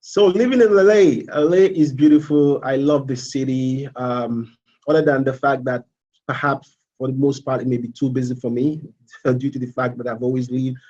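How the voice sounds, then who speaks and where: Halting Nigerian man, muffled audio. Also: mid-range voice, warm tone. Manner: halting, many fillers and repeated words. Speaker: MBA student. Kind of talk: informal live presentation.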